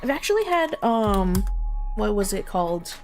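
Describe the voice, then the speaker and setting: A woman's voice: medium pitch, very animated, moderate pace, a little mellow. A woman streamer who moves between casual, informal chat and reading a visual novel story aloud. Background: background music.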